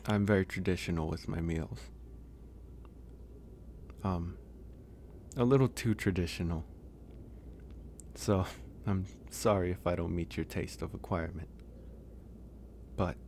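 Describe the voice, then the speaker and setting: A man acting a gentle, flirtatious, lonely werewolf in a soft-spoken ASMR romance roleplay. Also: low-pitched, gravelly, slight background noise, exaggerated voice. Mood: warm, apologetic. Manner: soft-spoken, unhurried, reassuring.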